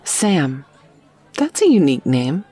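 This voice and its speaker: A woman performs a friendly restaurant server in a scripted roleplay. Her voice is low and softly husky. She is polite and chatty, attentive to her guests in a courteous, soothing way. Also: very animated, slow, soft background music, heavily acted. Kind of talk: scripted audio roleplay drama.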